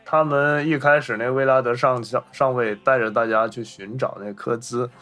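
A man with a medium-pitched voice co-hosts a casual film discussion. He talks in an explanatory, conversational way and readily chimes in with agreement. Music plays behind him. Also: steady tone, moderate pace, a bit bright. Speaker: podcast co-host.

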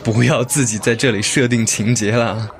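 An actor playing a gentle, sensible young gentleman in a Chinese audio drama, polite and a little long-suffering. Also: medium pitch, fairly even intonation, moderate pace, slight background noise, lightly acted. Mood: polite, firm.

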